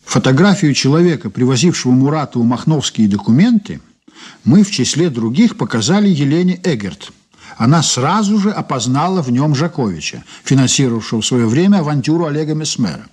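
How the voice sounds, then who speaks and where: A man's voice: medium pitch, big pitch swings, brisk, a little mellow, slightly rough. An actor in a Soviet radio drama playing a methodical investigator, formal and businesslike as he questions and recounts a case. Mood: methodical narration. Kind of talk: Soviet radio drama acting, part narration.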